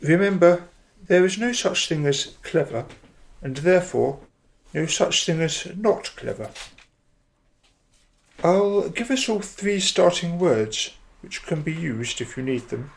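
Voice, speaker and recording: A man playing an earnest, gentle poetry workshop member in a radio drama, precise and instructive. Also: fairly high pitch, expressive, slow, gravelly, heavily acted.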